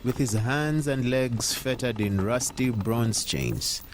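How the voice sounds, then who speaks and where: A man narrating an audio drama in a theatrical, measured, suspenseful way. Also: mid-range voice, noisy background. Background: music.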